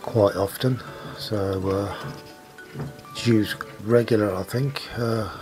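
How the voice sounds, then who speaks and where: A man's voice: fairly low pitch, slow. A man casually narrating a walking tour outdoors on a windy seaside path, rambling with many fillers into a camera mic. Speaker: vlogger walking tour guide. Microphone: handheld camera mic in wind. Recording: wind noise with background music.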